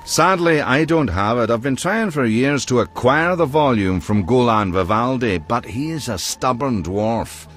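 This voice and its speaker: A man's voice: mid-range voice, animated, unhurried, few pauses, soft background music, close to an everyday voice. An actor playing a chatty, helpful book trader in a video game, musing as he talks.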